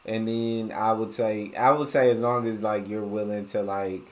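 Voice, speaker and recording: Deep-voiced American man reacting casually. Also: fairly even intonation, normal speed. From United States. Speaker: reaction video host.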